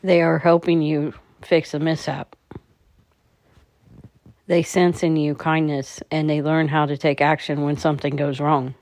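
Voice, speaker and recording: A woman leading a guided meditation in a calm, measured, soothing manner. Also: low-pitched, dry recording.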